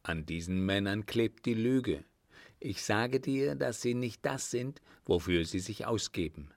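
A man voicing a suspicious younger desert man in an audiobook, with a deliberate, insistent and formal manner. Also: on the low side, animated, gravelly, no room sound, studio quality. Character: earnest, suspicious younger desert man advising his elder.

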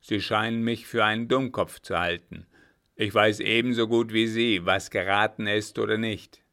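A man voicing a grim, vengeful army captain who talks in a forceful, harsh, clipped way. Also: lowish voice, steady tone, unhurried, vocal fry. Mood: scornful.

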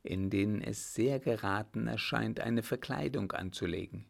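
A man voicing a scheming, smug baron who speaks in a cool, drawn-out, deliberate way. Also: on the low side, vocal fry.

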